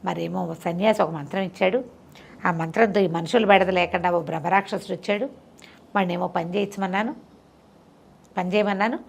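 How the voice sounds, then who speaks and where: A woman's voice: on the low side, fairly even intonation, fairly quick, vocal fry, long pauses, slightly rough. A middle-aged woman tells a moral story conversationally, repeating phrases for emphasis and explaining the lesson simply.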